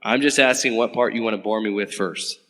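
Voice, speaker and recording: An actor playing a sarcastic, flustered young government agent in an audio drama. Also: male voice, lowish voice, brisk, sharp tone, big-room sound.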